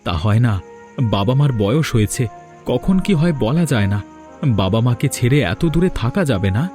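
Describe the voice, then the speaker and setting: A man as an earnest, animated young cartoon husband. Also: mid-range voice, normal speed, faint music behind, lightly acted. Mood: pleading. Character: earnest, devoted young husband and dutiful son. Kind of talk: Bengali cartoon voice acting.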